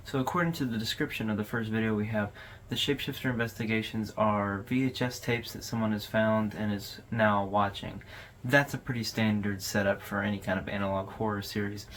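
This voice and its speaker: A man's voice: on the low side, steady tone, fairly quick, fairly bright tone, slight background noise. A man hosting a video overview, giving casual, conversational commentary in an informal way. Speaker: host of a horror video overview channel.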